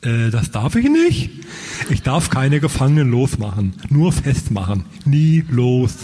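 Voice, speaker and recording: A man playing a dim, naive, obedient henchman with a husky voice in a comic radio play. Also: mid-range voice, very animated, a little slow, noisy background. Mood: confused, stubborn.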